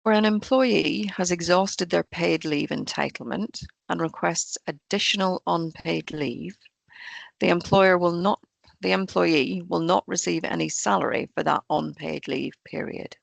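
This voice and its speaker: Croaky woman explaining pension rules. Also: fairly low pitch, a little slow, no room sound. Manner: steady, explanatory, reads through rules point by point. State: croaky, hoarse voice. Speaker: pension fund trainer. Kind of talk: presenting an online employer webinar. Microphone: video call.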